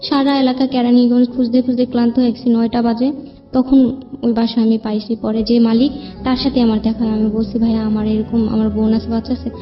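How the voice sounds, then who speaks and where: A young Bangladeshi woman recounts her own troubles as a show guest in a plaintive, rambling way. Her voice is fairly high and carries a long reverb. Soft music plays underneath. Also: little pitch movement, brisk, muffled recording. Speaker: guest telling own life story. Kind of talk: personal spoken account. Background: background music.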